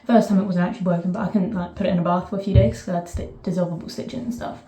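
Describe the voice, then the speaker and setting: A woman with a southern English accent chats to camera from a bath, her mellow, warm voice bouncing off the hard walls of an echoey bathroom. She rambles through stories in fits and starts, breaking off into asides and picking the thread back up. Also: lowish voice, steady tone, very fast. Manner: rambling, chatty, self-interrupting asides. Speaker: vlogger. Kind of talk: casual chat to camera.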